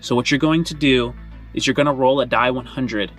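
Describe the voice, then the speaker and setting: A man speaks as game master and host of a tabletop game show. His voice is mid-pitched and his storytelling is casual and conversational, with light music underneath. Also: brisk, fairly bright tone. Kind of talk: hosting and narrating a tabletop game.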